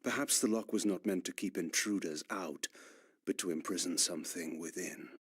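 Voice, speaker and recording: An actor playing a proud, brooding ancient vampire in a video game, speaking in formal, theatrical, measured diction. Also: male voice, low-pitched, fairly bright tone, breathy edge, dry recording, studio quality. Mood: brooding, ominous. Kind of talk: dramatic scripted narration and dialogue for a video game.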